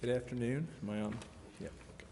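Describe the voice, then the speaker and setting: A man's voice: medium pitch, quick pace, mellow. A man giving an informal explanatory presentation as a consultant at a public meeting. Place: large indoor council chamber. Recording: echoey chamber, long reverb, faint noise.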